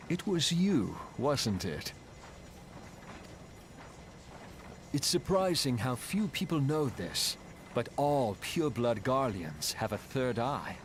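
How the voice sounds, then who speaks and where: An actor playing a proud, reflective exiled engineer and airship captain in a video game, speaking in an earnest, measured, solemn way. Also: male voice, mid-range voice, slight background noise, close to an everyday voice. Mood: wistful, proud. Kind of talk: dramatic video game voice acting.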